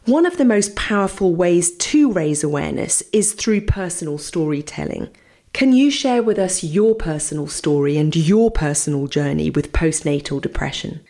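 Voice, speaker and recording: A woman's voice: deep voice, expressive, normal speed, dark tone. Woman doctor hosting a health show. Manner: clear, scripted presenter delivery. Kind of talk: radio health interview hosting.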